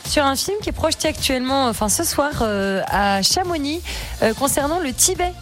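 Teasing high-voiced radio co-host woman. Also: expressive, moderate pace, fairly bright tone, soft background music. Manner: teasing, dry. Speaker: radio co-host and newsroom presenter. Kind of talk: casual radio banter. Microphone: studio microphone with headphones.

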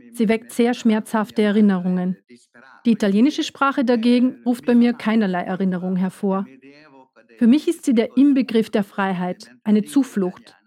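A woman giving a measured, earnest first-person voice-over for a documentary. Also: fairly high pitch, dark tone. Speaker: voice-over speaker.

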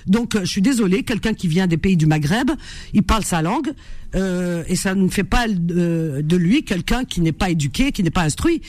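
A woman hosting a call-in radio show, expressive and quick to sympathize, laughing one moment and earnest the next, into a studio microphone. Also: on the low side, no room sound. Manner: animated and expressive, frequent sympathetic exclamations; laughs often, then turns earnest. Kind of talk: casual call-in radio chat.

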